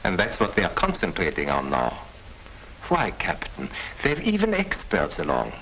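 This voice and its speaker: A suave wartime German major conducting an interrogation. His speech is courteous and condescending, swinging freely in pitch. The sound is an old 1940s film track with clear background noise. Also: wildly swooping pitch, moderate pace, sharp tone, heavily acted, low-quality recording. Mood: shrewd, explaining.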